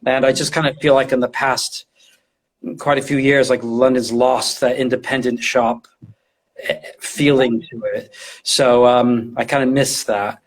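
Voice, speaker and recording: A middle-aged man with a medium-pitched voice talks as a guest expert in an interview. He explains informally and conversationally. He often repeats a word or two while he works out the phrase. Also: little pitch movement, a little slow, rough recording. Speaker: guest, retail design and visual merchandising specialist.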